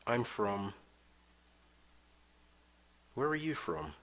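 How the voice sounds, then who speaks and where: A man teaching English, reading short phrases slowly and clearly for listeners to repeat. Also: lowish voice, very animated, fairly bright tone. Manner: clear drill-style reading of phrases for repetition. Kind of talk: slow scripted language lesson.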